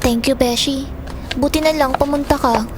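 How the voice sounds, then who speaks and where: A woman's voice: sits high, fairly even intonation, normal speed, heavy background noise, natural voice. A woman playing an angry, grieving young daughter in an audio drama, confrontational and accusing. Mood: bitter venting. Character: angry, grieving young daughter resenting her father's girlfriend.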